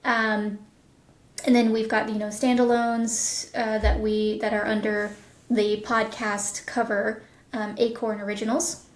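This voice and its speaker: Woman, higher voice, casual interview chat, voice director, echoey room sound. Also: little pitch movement, measured pace. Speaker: voice director of audio drama productions, interview guest.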